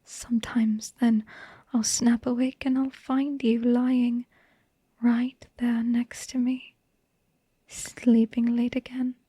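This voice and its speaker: A woman playing a devoted, tender and haunted vampire wife in a hushed, breathy, intimate ASMR bedtime monologue. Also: a little high, flat delivery, no echo. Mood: sorrowful, haunted, then soft, loving. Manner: slow, tender, halting delivery with lingering pauses.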